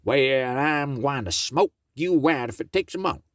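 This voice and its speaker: Guy doing a gruff scheming fox, storybook style. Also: medium pitch, expressive, a little slow, fairly bright tone, no room sound, voice pushed up. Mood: menacing. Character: cunning blustering male fox.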